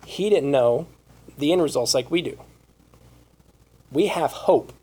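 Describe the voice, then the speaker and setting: Slightly high-voiced man preaching scripture. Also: measured pace, warm tone, breathy edge, poor audio. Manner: reads scripture aloud, rhetorical questions. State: losing his voice. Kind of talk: Bible study sermon.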